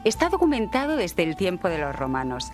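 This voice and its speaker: A woman from narrates a scripted podcast in a theatrical, mock-serious way. Her fairly low voice swings with lively intonation, and music plays clearly behind her. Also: brisk.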